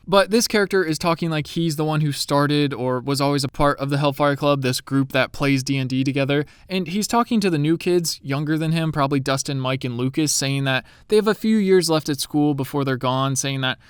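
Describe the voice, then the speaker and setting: A man's voice: fairly high pitch, fairly even intonation, fairly quick, few pauses, clean tone, no echo, studio quality. A young man, a bit sniffly, giving casual, eager commentary to his viewers as a YouTube creator. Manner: chatty and eager.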